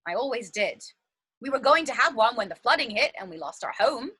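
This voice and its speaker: A woman's voice: a little high, big pitch swings, fairly quick, pushed and bright, breathy edge, heavily acted. A woman playing a restless, yearning figure desperate to escape, speaking insistently and with passion in a stage play. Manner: insistent, impassioned.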